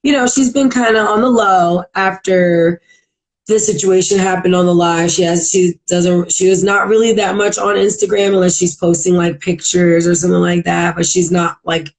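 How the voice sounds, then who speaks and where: A woman with a heavy, raspy voice and a congested throat, hosting a casual live podcast chat, chatty and full of "like" and "um", often clearing her throat. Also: lowish voice, steady tone, normal speed, rough recording. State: congested from allergies. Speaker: podcast host. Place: at home in Virginia.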